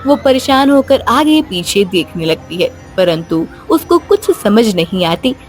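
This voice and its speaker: A woman's voice: mid-range voice, sing-song, measured pace. A woman narrator reading a scripted moral story for young listeners. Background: background music.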